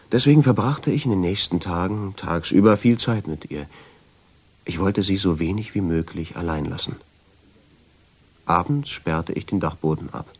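An actor playing a haunted, uneasy man who narrates in a radio drama, in with a husky, brooding delivery. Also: low-pitched, darkish tone, heavily acted. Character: haunted, uneasy man recounting his obsession with a portrait. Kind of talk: radio drama first-person narration and dialogue.

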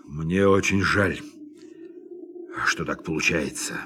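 A grave spaceship captain. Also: male voice, sits low, slow, slight background noise, heavily acted. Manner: subdued, often hushed to a near whisper. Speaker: ship captain. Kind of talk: radio play acting.

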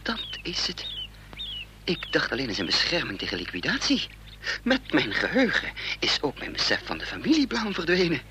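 A man's voice: a little high, huge pitch swings, moderate pace, bright, forceful tone, heavily acted. Intense hunted man, noisy radio play. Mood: dawning realisation. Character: hunted male amnesiac double, resolute, driven, bitter. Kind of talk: radio drama acting with first-person narration.

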